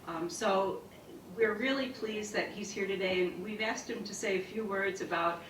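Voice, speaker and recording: A woman's voice: medium pitch, moderate pace, a bit bright, far from the mic, slight background noise. A woman introducing a guest speaker at an event, with hesitant ums along the way. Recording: echoey hall recording from afar.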